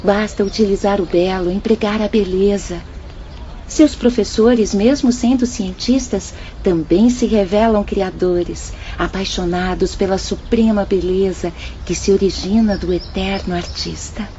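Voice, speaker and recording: An actress with a Brazilian accent playing a kind, encouraging young spirit sister in a gentle, formal radio drama style. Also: female voice, mid-range voice, a little slow, close to an everyday voice. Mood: devout. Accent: Brazilian Portuguese. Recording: dull band-limited audio, faint noise and ambience.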